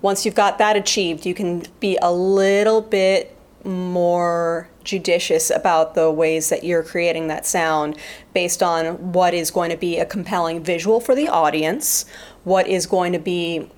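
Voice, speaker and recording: A woman talking reflectively in an interview as a Foley artist, articulate and thoughtful, with measured phrasing. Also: lowish voice, fairly even intonation, darkish tone. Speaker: guest, Foley artist and theater performer.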